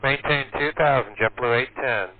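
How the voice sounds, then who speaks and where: A man's voice: mid-range voice, moderate pace. A man speaking as an airline pilot, giving brief readbacks and calls over the radio. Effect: radio transmission. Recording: tinny cockpit radio with faint hiss.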